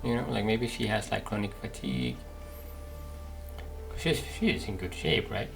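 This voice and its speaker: A man hosting a game playthrough, commenting casually and haltingly as he thinks aloud. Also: on the low side, sing-song, gravelly, soft background music. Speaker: gaming video host.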